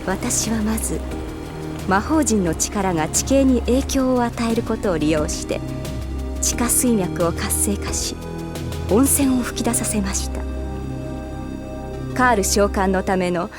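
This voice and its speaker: Woman acting an otherworldly female narrator, medium pitch, solemn, formal, measured dramatic storytelling, devoted, music clearly behind. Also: natural voice. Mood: composed, purposeful. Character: devoted otherworldly female narrator set on resurrecting a fallen swordsman.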